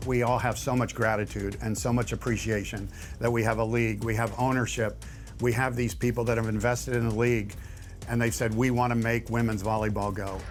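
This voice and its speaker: A man's voice: mid-range voice, level tone, a little fast, soft background music. A man, a volleyball coach, speaking in confident, upbeat interview soundbites about his team.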